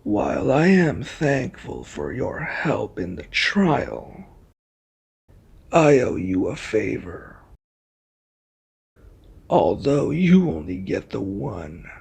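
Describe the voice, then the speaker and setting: A man voicing an arrogant, menacing reluctant ally in an ASMR roleplay, with a husky, taunting, threatening delivery. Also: mid-range voice, big pitch swings, slow, long pauses, darkish tone, exaggerated voice. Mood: coldly threatening.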